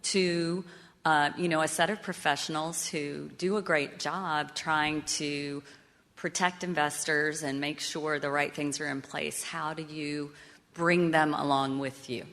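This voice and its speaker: A woman moderating an onstage fireside chat, asking questions hesitantly with many pauses. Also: deep voice, steady tone, fairly bright tone. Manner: hesitant, many fillers and restarts. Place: conference venue, on stage. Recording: reverberant conference hall.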